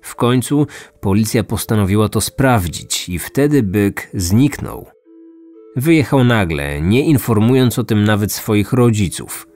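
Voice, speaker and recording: A man hosting a true-crime podcast, narrating from a script in measured storytelling style. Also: fairly low pitch, expressive, darkish tone. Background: background music.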